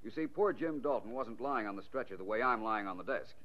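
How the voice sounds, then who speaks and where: An actor in a 1940s radio drama playing a shrewd, unflappable private detective, urbane and wry. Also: male voice, mid-range voice, expressive, a little fast, natural voice. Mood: explaining, confident. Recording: muffled vintage 1940s radio recording.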